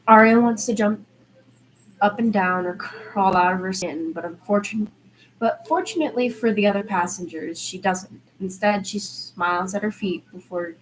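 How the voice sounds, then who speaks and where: A woman's voice: fairly low pitch, a little hiss or hum, low-quality recording. A woman reading a story aloud in audiobook style, occasionally stumbling and restarting her phrases. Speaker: fan fiction reader.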